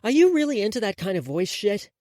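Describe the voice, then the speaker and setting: A man voicing a sharp-tongued young woman who answers with dry mocking wit. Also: high-pitched, very animated, fast talker, dry recording, pitched above the performer's own voice.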